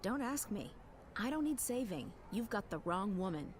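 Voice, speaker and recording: A woman's voice: medium pitch, sing-song, moderate pace, slight background noise, natural voice. A woman playing a jaded young resistance leader in a video game, curt, guarded and commanding. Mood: dismissive. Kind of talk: video game cutscene acting. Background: background music.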